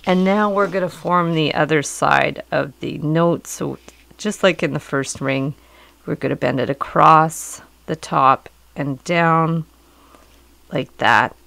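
Hoarse middle-aged woman teaching a craft. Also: low-pitched, slow, dry recording. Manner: calm, patient, explanatory. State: hoarse from a cold. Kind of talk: step-by-step craft instruction. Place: indoors at a work table.

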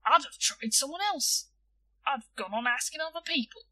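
Woman voicing an earnest, bright-voiced young boy. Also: high-pitched, animated, normal speed, pitched above the performer's own voice. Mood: blunt, curious. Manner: ungrammatical, stiltedly polite. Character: earnest, blunt, self-important young boy.

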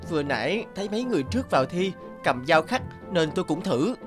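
A man's voice: on the high side, big pitch swings, fast. A man playing a polite, humble, kind-hearted young wanderer in a cartoon fairy tale, speaking earnestly. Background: background music.

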